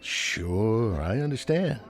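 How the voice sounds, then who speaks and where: An actor playing a folksy, obliging big-city shopkeeper in a radio drama, chatty and colloquial. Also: male voice, on the low side, big pitch swings, slow pace, soft background music. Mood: soothing, chatty.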